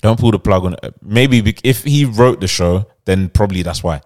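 A man with a London accent and a low-pitched voice talks as a podcast host. His style is casual and slangy, putting his opinions across and often checking that the others follow. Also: moderate pace, a little mellow.

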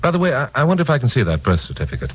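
An actor with an American accent playing a wry, level-headed investigator in a 1950s radio crime drama, measured and matter-of-fact. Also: male voice, mid-range voice, sing-song, husky. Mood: polite, probing. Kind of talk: 1950s radio crime drama acting with first-person narration.

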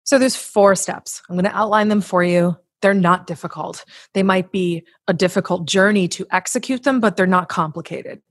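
A woman's voice: on the low side, normal speed, few pauses, no echo. Woman, podcast guest, motivational coach, emphatic, blunt, casual swearing, dry close recording. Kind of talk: conversational motivational advice with acted-out examples.

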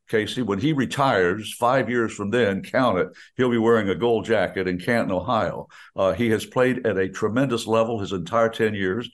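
An older man, a veteran radio announcer, chatting in a folksy, enthusiastic way and often restarting his sentences. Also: fairly low pitch. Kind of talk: casual chat on a radio show.

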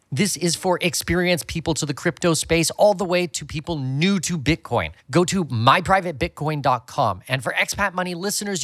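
A man's voice: fairly high pitch, a bit bright, no room sound. A man delivering a scripted promotional read as an ad presenter.

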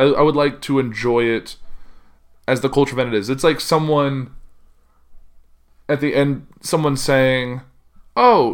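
Rambling man chatting on a podcast. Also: mid-range voice. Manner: rambling, self-correcting, loud voice that carries. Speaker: podcast host. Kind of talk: casual solo chat.

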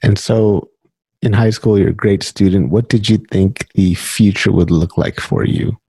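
A man's voice: on the low side, fairly even intonation, measured pace, creaky, dark tone, husky, no echo. A man hosting a podcast, giving an upbeat scripted introduction and then interviewing a guest. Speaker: podcast host.

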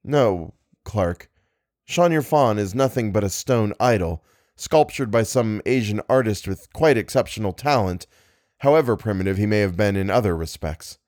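A man voicing a calm, compassionate gentleman who reasons steadily with a frightened friend. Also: fairly low pitch, normal speed, no room sound, clean studio sound, lightly acted. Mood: reasoning.

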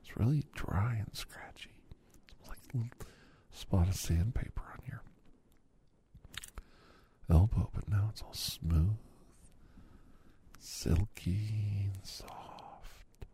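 A man whispering softly and soothingly to the listener in an ASMR massage roleplay. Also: fairly low pitch, slow pace, pauses often. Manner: soft, soothing, reassuring whisper addressed to listener.

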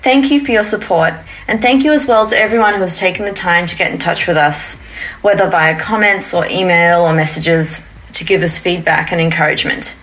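A woman's voice: fairly low pitch, brisk, a bit bright. A woman with an Australian accent hosting a podcast, reading an intro and asking guests follow-up questions. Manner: friendly, asks follow-up questions. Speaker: podcast host.

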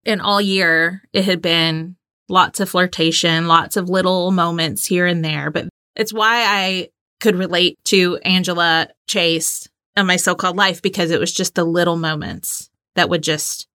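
A woman's voice: lowish voice, steady tone, measured pace, bright, forceful tone, clear, resonant tone, no room sound, clean studio sound. A woman in her forties co-hosting a podcast, chatting and reading aloud in a giggly, informal way. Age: 40s. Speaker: podcast co-host. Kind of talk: casual chat and diary reading.

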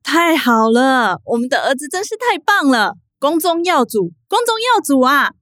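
An actress playing an anxious, doting middle-aged mother with a Taiwanese accent, fretful and expressive in a radio drama. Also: high-pitched, normal speed, no echo, clean studio sound. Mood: excited, proud.

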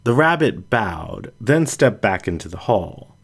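A man's voice: fairly low pitch, sing-song, a little slow, creaky, breathy edge. A man reading a scripted first-person story aloud as an audiobook narrator.

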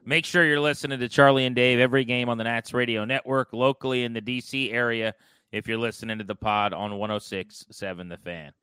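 A man hosts a conversational sports podcast in a bright, punchy voice. His tone is smooth and clear, at a middling pitch for a man. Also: steady tone, fairly quick, dry recording.

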